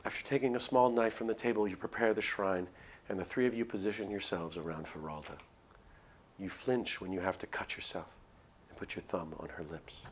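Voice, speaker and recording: A man's voice: low voice, a little hiss or hum. A man reading a visual novel aloud as a streamer, in dramatic storytelling narration with casual asides. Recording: music under the voice. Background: music.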